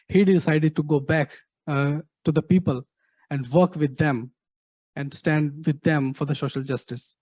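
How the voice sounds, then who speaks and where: A middle-aged Indian man with a fairly high voice speaks in halting phrases, leaning on "uh" and "actually". His accent is clear and he answers questions at a measured pace. The sound is dry and close. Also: a little mellow, no room sound, low-quality recording. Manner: halting, many fillers, frequent "actually". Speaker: guest speaker, activist.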